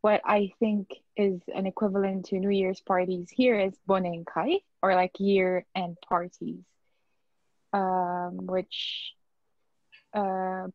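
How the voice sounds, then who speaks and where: A woman's voice: mid-range voice, slow, dry recording, lo-fi sound. Woman, casual and hesitant, dry close sound. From Philippines. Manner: relaxed, hesitant with fillers and likes. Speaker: panelist, digital marketing specialist. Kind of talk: casual panel discussion.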